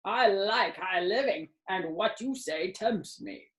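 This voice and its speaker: A woman's voice: mid-range voice, slow pace, reverberant, close to an everyday voice, low-quality recording. A woman voicing a greedy, grumbling male rat in a storybook reading. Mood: eager.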